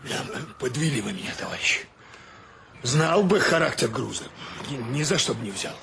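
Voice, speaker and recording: An actor playing a flustered, avuncular ship's captain in a radio play, blustering and exhorting. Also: male voice, medium pitch, big pitch swings, moderate pace, gravelly, a crowd in the background. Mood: reproachful. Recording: noisy radio play, ship ambience.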